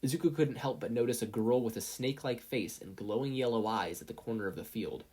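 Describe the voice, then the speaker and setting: A woman's voice: very deep voice, fairly quick, dark tone, breathy edge. A woman narrating a scripted fan-fiction story as a podfic reader.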